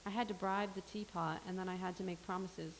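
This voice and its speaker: A woman's voice: lowish voice, fairly even intonation, fairly quick, natural voice. A woman playing a dry, sardonic café server. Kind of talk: staged comedy reading. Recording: reverberant space with faint hiss.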